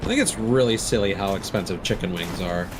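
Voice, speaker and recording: A man's voice: low voice, brisk, noisy background. A man doing casual live commentary as a game streamer, musing aloud in rambling asides. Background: video game sound.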